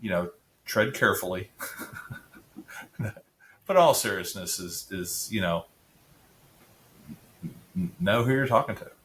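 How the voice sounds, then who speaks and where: A middle-aged man with a deep voice, chatting casually as a podcast guest, rambling with restarts and filler words. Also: unhurried, long pauses, a bit bright. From Central Florida area resident. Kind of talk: casual interview chat.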